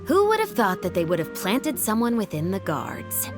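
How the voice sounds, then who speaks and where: A woman's voice: mid-range voice, huge pitch swings, moderate pace, music in the background. A woman voicing a stern, righteous female security patrol captain in a video game, authoritative, formal and declamatory. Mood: accusing. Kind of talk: dramatic video game voice acting.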